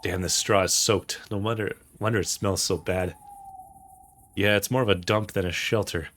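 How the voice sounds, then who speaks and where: A man's voice: sits low, fairly even intonation, moderate pace, slight background noise. A man streaming a game, reading visual novel dialogue aloud. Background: soft guitar game music.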